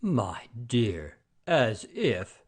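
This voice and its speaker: An actor playing a proud, sullen gentleman gambler, curt and stubborn, in a satirical audio play. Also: fairly low pitch, big pitch swings, drawn-out words, vocal fry, breathy edge, exaggerated voice.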